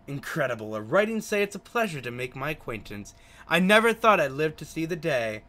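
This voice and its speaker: A man's voice: fairly high pitch, big pitch swings, fairly quick, sharp tone. A man voicing a chatty, friendly young country taxi driver in a visual novel. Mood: amazed. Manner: effusive, chatty. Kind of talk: visual novel dialogue read aloud.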